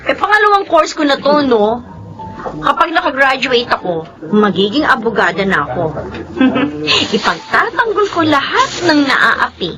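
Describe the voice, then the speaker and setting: A woman's voice: sits high, big pitch swings, unhurried, crowd noise, heavily acted, rough recording. An actress playing a playful young woman who chides and banters in a Filipino radio drama. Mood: playful teasing. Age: young adult. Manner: chiding, bantering. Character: playful, teasing young woman studying to be a lawyer.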